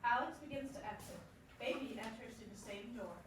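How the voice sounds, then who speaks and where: A woman's voice: fairly high pitch, fairly quick. A woman reading scripted stage directions as narrator. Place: performance room, recorded from a distance. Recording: very echoey, distant, noisy room.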